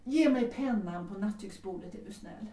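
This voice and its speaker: A woman's voice: mid-range voice, mellow, natural voice. A woman playing a proud, resolute middle-aged widowed mother in a 1930s audio drama, composed, formal and commanding. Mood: composed, firm. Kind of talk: Swedish audio drama acting. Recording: roomy, distant from the mic.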